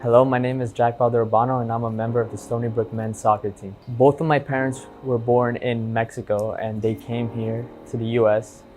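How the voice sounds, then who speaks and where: Young male athlete from New York City, level even voice, faint music. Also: medium pitch, brisk, hardly any pauses. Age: young adult. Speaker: soccer player. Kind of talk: interview talk.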